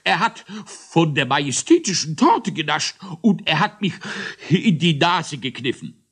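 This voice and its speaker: A man's voice: on the high side, big pitch swings, a little slow, a bit bright, slightly rough, pitched above the performer's own voice, full character voice. A man voicing a pompous, vindictive little creature judge in a children's story, thundering through a stuffed-up nose. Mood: pompous accusing. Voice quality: nasal, stuffed-up. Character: pompous, fat-nosed, vindictive male goblin-like judge with a cold.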